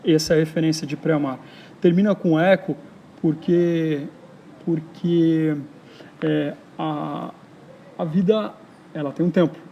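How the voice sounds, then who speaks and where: A man from São Paulo with a São Paulo accent, speaking as a poet interviewed in a park in a reflective, halting way full of hesitations. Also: a little high, steady tone, creaky, lo-fi sound, slight background noise. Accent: São Paulo Brazilian Portuguese. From São Paulo, Brazil. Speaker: poet and writer, interview guest. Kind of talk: casual interview conversation. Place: outdoors in a park.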